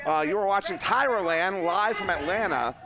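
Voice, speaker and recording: A man casting a live esports match, joking at his own expense and talking with many fillers, his voice worn and going hoarse. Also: high-pitched, moderate pace, crowd noise. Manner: joking, self-deprecating, rambling with fillers. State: losing his voice. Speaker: esports caster. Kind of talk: live play-by-play commentary. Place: live event venue.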